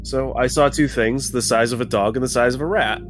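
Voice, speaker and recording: A man's voice: mid-range voice, brisk, faint music behind. A man chatting casually as a player in a tabletop roleplaying game.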